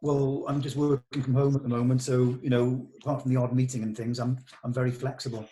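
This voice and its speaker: A man with a medium-pitched voice in an informal script meeting. He talks as a co-writer putting questions and suggestions to the group in a casual way. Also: level tone, a little fast, dark tone, a little hiss or hum, low-quality recording. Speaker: co-writer leading a table read discussion.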